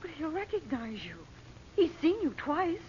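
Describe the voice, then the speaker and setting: A woman's voice: sits high, big pitch swings, moderate pace, darkish tone. A woman playing a worried, protective mother in an old-time radio melodrama, pleading anxiously. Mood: alarmed, pleading. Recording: dull, noisy old radio recording.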